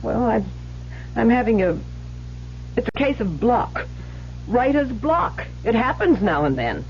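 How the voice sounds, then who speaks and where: An actress playing a defensive, high-strung woman writer in a vintage radio drama, emotional and sharp-tongued. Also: sing-song. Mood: flustered. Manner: emotional, sharp-tongued, halting under pressure. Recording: muffled old radio broadcast with hiss and hum.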